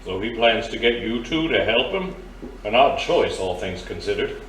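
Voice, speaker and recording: A man's voice: lowish voice, lively intonation, normal speed, a bit bright. Urbane older crime boss in an echoey room, man acting. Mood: dry, grave. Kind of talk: pulp adventure audio drama acting. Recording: roomy, distant mic, faint noise.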